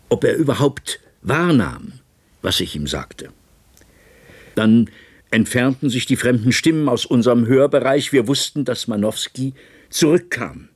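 A watchful colleague recounting tense events in a radio drama. His voice is husky and mid-pitched, his measured and restrained. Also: lively intonation. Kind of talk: radio play narration in German.

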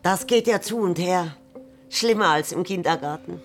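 Casting: actress as no-nonsense waitress, lowish female voice, tart and brisk, radio play with music behind. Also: close to an everyday voice. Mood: tart, scolding. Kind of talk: radio drama dialogue.

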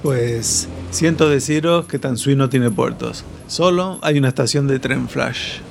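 A man's voice: medium pitch, measured pace, creaky, slight background noise. A man acting a friendly, modest young helper in an audio drama. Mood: worried. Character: Torvald, friendly, helpful, modest young local guide. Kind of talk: Spanish audio fiction acting.

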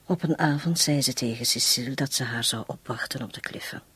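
A very breathy, husky woman in a radio play. She is bitter and resolute after years of suffering. She confides haltingly and with great intensity, often sinking toward a whisper. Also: extremely low pitch, fairly bright tone, heavily acted. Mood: grave, bitter. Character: long-suffering household woman confessing to murder, bitter yet resolute. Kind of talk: radio drama acting.

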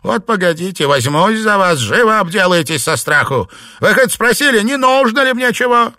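A man voicing a vain, hysterical middle-aged wife who shrieks and wails in a high, lively voice. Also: moderate pace, dry recording, studio quality, heavily acted. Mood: shrill, hysterical. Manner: shrieking and wailing.

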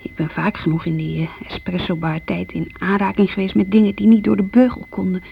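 An actress playing a lonely, guilt-ridden younger daughter in a Dutch radio drama, confessing hesitantly in a creaky, sometimes breathy voice. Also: female voice, mid-range voice, little pitch movement, a little fast. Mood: defensive, hesitant. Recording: muffled, noisy old radio recording.

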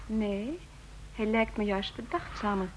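An actress playing a thoughtful, intuitive office colleague, earnest and a little hesitant, in a radio play. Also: female voice, mid-range voice, unhurried, lightly acted. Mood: concerned, uneasy. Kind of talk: Dutch radio play acting. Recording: noisy old radio play recording.

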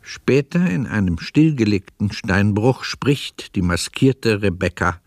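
The storyteller of a radio play, with a fairly low voice in a dry studio sound. He sets out each scene in measured, sober, literary narration. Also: expressive, darkish tone, no room sound. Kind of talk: scripted narration.

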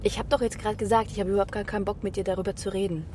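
A woman's voice: medium pitch, fairly even intonation, rapid-fire, lightly acted. Brash young policewoman, played by an actress, noisy surroundings. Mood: defensive. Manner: defensive, nagging, colloquial. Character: brash, self-righteous young policewoman, casually prejudiced. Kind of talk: naturalistic radio drama acting.

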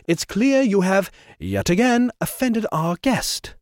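A man's voice: high voice, very animated, slow pace, a little mellow, no room sound, studio quality, voice pushed up, heavily acted. A man voicing a haughty ship owner with a pompous, clipped, scolding manner.

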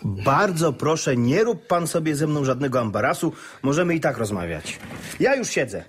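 High-voiced, courteous gentleman neighbour. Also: sing-song, moderate pace, slight background noise. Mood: polite, reassuring. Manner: effusively polite, repeating words. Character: courteous, obliging gentleman neighbour paying a visit. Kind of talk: Polish radio play acting.

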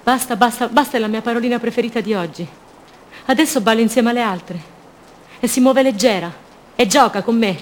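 A woman's voice: a little high, brisk, slight background noise, close to an everyday voice. An actress playing a fragile, haunted captive woman in a radio drama, speaking in short fragments and childlike repetitions. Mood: childlike, brittle. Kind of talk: theatrical monologue, radio drama.

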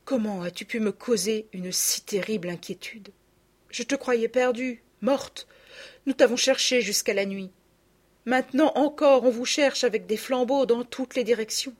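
A woman voicing a noble mother in an audiobook, emotional, gentle and firm. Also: a little high, moderate pace, voice pushed up, close to an everyday voice. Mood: distraught.

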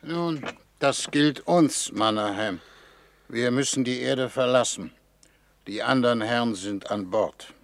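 A man's voice: medium pitch, unhurried, creaky. A man playing a genial, dryly ironic older foreign minister in a 1950s radio play. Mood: composed, resigned. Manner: dry, wry, leisurely. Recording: noisy vintage 1950s radio recording.

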